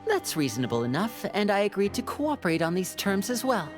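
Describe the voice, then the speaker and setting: A woman voicing a young male magician agent in a video game, polite, composed and calculating, with measured speech. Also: medium pitch, very animated, natural voice. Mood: composed, businesslike. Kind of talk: video game character acting. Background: game music.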